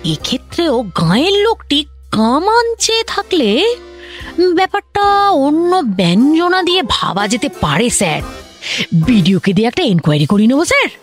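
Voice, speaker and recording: High-pitched, flustered, chatty woman officer. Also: very animated, normal speed, soft background music. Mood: eager, flustered. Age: 40s. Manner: flustered, rambling, stammering, over-explaining. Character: stout talkative domineering female government officer past forty. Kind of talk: comic Bengali radio drama acting.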